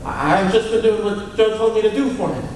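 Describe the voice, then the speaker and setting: A man's voice: high voice, fairly quick, slight background noise, close to an everyday voice. A man playing a stammering, simple-minded young man in a live old-time radio drama, fixated and obsessive. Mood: nervous. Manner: stammering, fixated, flat-toned. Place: large convention hall, live stage. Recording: echoey hall, heard from far off.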